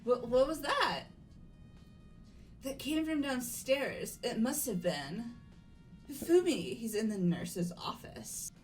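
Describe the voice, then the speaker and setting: Woman playing a slow-speaking, frightened teenage girl. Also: fairly high pitch, expressive, soft background music. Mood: alarmed. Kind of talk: dramatic game voice acting.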